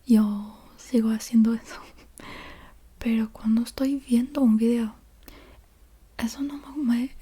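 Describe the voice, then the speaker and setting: A woman doing soft-spoken ASMR, casually rambling in a breathy whisper with a hesitant, playful manner and softly repeated words. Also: a little high. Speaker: ASMR creator.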